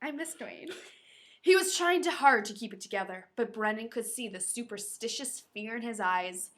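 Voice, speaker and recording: A woman's voice: fairly high pitch, normal speed, a bit bright. A woman co-hosting a podcast, playful, mixing casual banter with reading a novel aloud and correcting herself as she goes. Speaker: podcast co-host.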